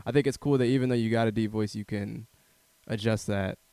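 A male interview host with a somewhat low, smooth and resonant voice, recorded dry and close. He talks in a relaxed, affirming way, sprinkling in "like" and "man". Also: brisk, no room sound. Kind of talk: casual interview.

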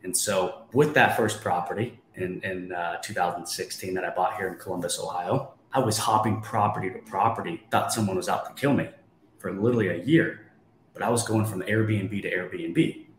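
Deep-voiced young man in an echoey room. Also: moderate pace. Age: 20s. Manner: emphatic, motivational, asks rhetorical questions. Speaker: guest, real estate investor. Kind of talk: storytelling in a podcast interview. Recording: echoey room, faint background noise.

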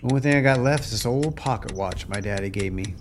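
A man's voice: on the low side, fast talker, close to an everyday voice. Man acting as a folksy American farmer, noisy recording. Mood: rueful. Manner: folksy, plainspoken, rueful. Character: bankrupt, unmarried farmer, plainspoken, weary, from a ranching family. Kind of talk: radio play acting.

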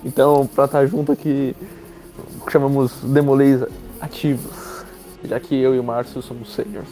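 Young Brazilian man over clear music. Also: medium pitch, flat delivery, moderate pace, warm tone, rough recording. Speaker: podcast co-host. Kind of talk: casual banter.

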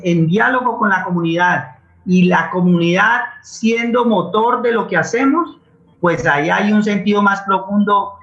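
A man's voice: high voice, fairly bright tone. A Colombian man answering in a conversational interview, earnest and explanatory, often repeating words as he thinks. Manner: earnest, explanatory, hesitant repetitions. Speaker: interview guest, playwright and community theater maker. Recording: dull, echoey remote-sounding audio.

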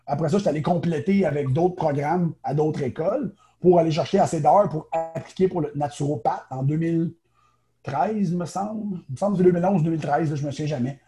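Quebec French male guest, naturopath, casual, higher voice, very warm tone. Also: soft background music. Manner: chatty, quick, many fillers and restarts. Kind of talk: casual interview talk.

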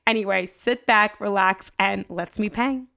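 A woman's voice: mid-range voice, a little slow, vocal fry, bright, forceful tone. A woman in her twenties hosting a podcast, upbeat and chatty, often saying "like". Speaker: podcast host. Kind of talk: casual interview chat.

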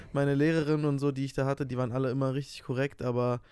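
A man's voice: medium pitch, level tone, fast, a little mellow, smooth, no room sound. A young man co-hosting a casual podcast, joking and playfully swearing at his friend. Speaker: podcast co-host. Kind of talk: casual chat. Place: in Hannover.

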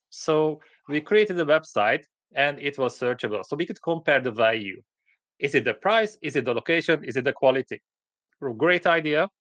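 A man's voice: medium pitch, fairly bright tone, no echo. A man from Hungary with a Hungarian accent, talking as an interview guest in short, plain-spoken, direct sentences. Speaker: podcast guest, company founder. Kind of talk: conversational interview storytelling.

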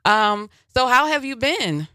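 A man hosting a community radio show, talking in a relaxed, casual way full of "you know" and "uh" fillers. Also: very high-pitched, slow pace, clear, resonant tone, no echo, clean studio sound.

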